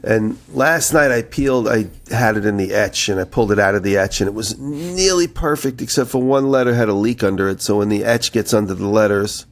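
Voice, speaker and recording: A man with a low, creaky voice co-hosts a casual podcast. He sounds a little nasal from a head cold. His talk is conversational and wanders through anecdotes with a gravelly edge. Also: brisk, hardly any pauses. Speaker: podcast co-host. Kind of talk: casual chat, rambling anecdotes.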